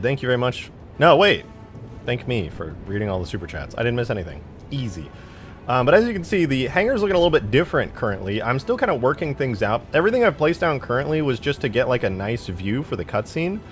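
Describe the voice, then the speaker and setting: A man's voice: medium pitch, very animated, fairly quick, faint music behind. A man livestreaming in a casual, slangy way, reading out viewer comments and talking with self-deprecating bluntness and some swearing. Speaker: live streamer.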